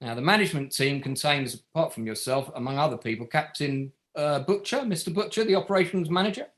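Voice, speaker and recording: An actor playing a relentless barrister who cross-examines with pointed, sardonic questions in a courtroom drama table read. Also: male voice, on the high side, fast talker, fairly bright tone, natural voice. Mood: methodical, accusing.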